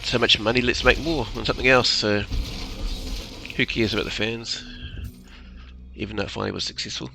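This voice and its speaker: A man giving casual, unscripted video game commentary in a gravelly voice. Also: fairly low pitch, fairly even intonation, a little fast, pauses often, noisy background.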